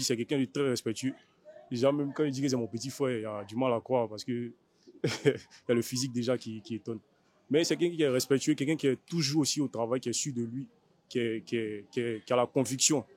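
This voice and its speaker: Ivorian man, medium-pitched, informal. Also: fast talker, darkish tone. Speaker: fighter's older brother being interviewed. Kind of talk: informal interview.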